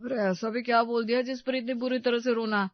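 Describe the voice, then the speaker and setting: A woman's voice: a little high, steady tone, fairly quick, no room sound, pitched above the performer's own voice, natural voice. A woman voicing an irritated, baffled young husband in an audio story, complaining in exasperation. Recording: slightly dull, dry recording.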